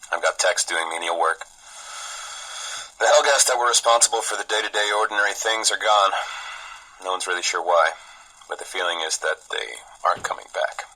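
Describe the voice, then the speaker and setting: An actor playing a gruff, overworked reactor boss on a ship, blunt and weary, in a video game audio log. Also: male voice, deep voice, bright, forceful tone, full character voice, low-quality recording.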